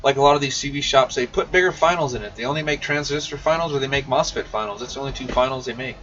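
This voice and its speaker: A man's voice: medium pitch, quick pace, fairly bright tone. A man hosting a casual shop livestream, chatting in a folksy, slangy way as he answers viewers' questions. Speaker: host of a CB radio shop live stream. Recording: muffled, noisy, distant-mic livestream audio.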